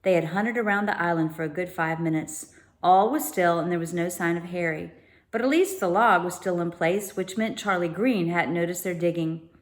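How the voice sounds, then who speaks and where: A woman storyteller narrating a children's book, slipping into informal explanatory asides. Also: fairly low pitch. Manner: pauses to explain unfamiliar words informally. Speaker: read-aloud storyteller.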